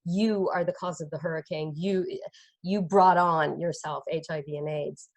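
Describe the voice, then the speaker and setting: A woman's voice: low voice, steady tone, moderate pace. A woman interviewing a guest, thoughtful and hesitant with many fillers. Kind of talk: conversational interview.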